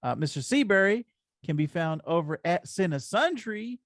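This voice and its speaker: Creaky-voiced man hosting a casual podcast. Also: high voice, very animated, moderate pace, no room sound, studio quality. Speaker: podcast host. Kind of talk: casual comics podcast chat.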